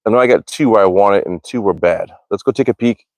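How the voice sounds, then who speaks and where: A man's voice: lowish voice, fast talker, a little mellow, dry recording. An American man chatting casually on a livestream, rambling along in an informal, slangy way. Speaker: livestream host, hobby archer. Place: outdoors at a backyard archery range.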